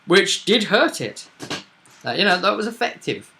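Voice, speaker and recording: A man in a casual, animated chat as host and game master, recapping a tabletop roleplaying session and often repeating himself. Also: high voice, moderate pace, vocal fry, slight background noise.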